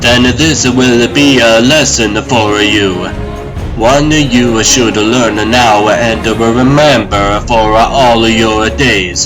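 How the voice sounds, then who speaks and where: A proud, fatalistic baron condemned to die , played by a man in a comic dub. His delivery is slow, solemn and defiant, set over prominent music. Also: mid-range voice, low-quality recording. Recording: loud dramatic music behind.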